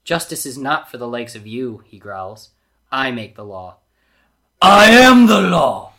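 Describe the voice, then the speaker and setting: A man's voice: mid-range voice, very animated, measured pace, sharp tone, exaggerated voice. A man acts out a villainous Grand Vizier from an adventure storybook. He is pompous and menacing, with cold deliberation and widely swinging theatrical delivery. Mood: cold contempt.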